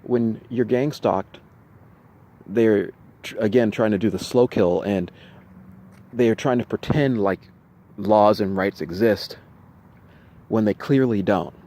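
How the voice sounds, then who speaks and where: A man with a fairly low voice talks off the cuff to his camera. He speaks haltingly, often doubling back on his phrases and repeating them. His delivery is insistent and emphatic, as someone venting about his own experiences. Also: dark tone. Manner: halting, repetitive, with restarted phrases. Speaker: vlogger recounting personal experiences. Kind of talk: unscripted video monologue, venting to camera.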